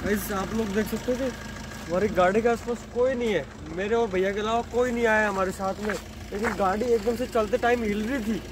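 A young man vlogging a ghost challenge on a dark rural road at night, talking excitedly to his viewers from the road and from inside a car. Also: high-pitched, fairly quick, noisy background. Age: young adult. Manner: excited, addresses viewers as guys. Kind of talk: ghost-hunting night vlog.

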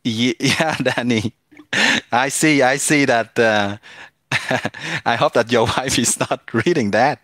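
A man's voice: medium pitch, slow, a bit bright, no room sound. A man from France with a French accent, chatting casually with viewers while streaming a mobile game, hesitating often with fillers and restarts.

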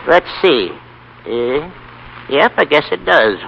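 A man's voice: fairly high pitch, expressive, slow pace, creaky, heavily acted. A man playing a wry, easygoing old grandfather with dry, folksy humor, in 1930s radio acting. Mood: dry, amused. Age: older. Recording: muffled, hissy 1930s radio broadcast.

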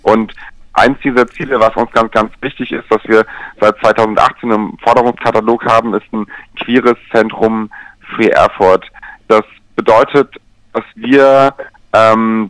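A man explaining things in a measured, structured way as a guest in a radio telephone interview. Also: lowish voice, a bit bright, dry recording, rough recording. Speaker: interview guest, LGBT pride event organizer. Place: remote, by telephone.

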